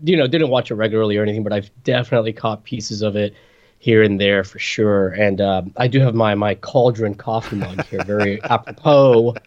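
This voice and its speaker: A man's voice: lowish voice, brisk, a little mellow, highs cut off. A man co-hosting a podcast, a bit congested, trading playful puns in casual chat. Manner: playful punning, lots of fillers and restarts. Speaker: podcast co-host. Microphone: webcam setup, remote recording.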